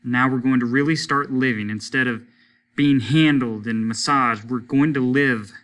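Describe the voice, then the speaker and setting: A man's voice: mid-range voice, moderate pace, fairly bright tone, lightly acted. A man voicing a stern, fed-up middle-aged father who speaks in a firm, exasperated and decisive way.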